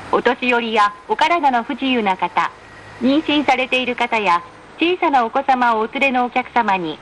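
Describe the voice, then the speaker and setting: A woman reading scripted in-car train announcements in a formal, polite, measured way with careful enunciation. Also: fairly high pitch, fairly bright tone. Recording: noisy train announcement recording.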